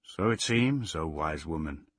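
A man voicing a gentle, sensible male dog in an audiobook, speaking in a measured, resigned, wistful manner. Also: lively intonation, breathy edge, no echo, clean studio sound, exaggerated voice. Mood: dry. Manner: slow, low, measured, resigned. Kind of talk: audiobook character voice.

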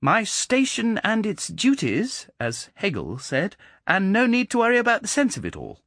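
A man's voice: high voice, huge pitch swings, normal speed, a bit bright, no room sound, clean studio sound, voice pushed up, exaggerated voice. A man voicing a precocious boy with a curiously precise treble, innocent and probing in manner. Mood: innocently needling.

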